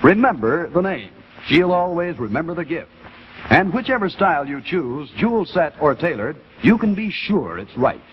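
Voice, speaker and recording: A middle-aged American man giving a polished, deliberate scripted sales pitch as a 1950s television announcer. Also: sing-song. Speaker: commercial announcer. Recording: muffled 1950s television broadcast with faint noise.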